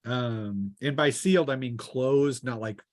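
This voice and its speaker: A man with an average-pitched voice acts as game master at a tabletop session. He describes the action casually, often pausing to think aloud. The sound is dry, with very little room in it. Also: no room sound.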